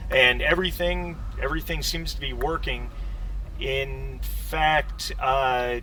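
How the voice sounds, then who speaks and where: A man narrating a tabletop game as game master, improvising with halting pauses. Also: mid-range voice, steady tone, sharp tone. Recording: distant mic with background noise.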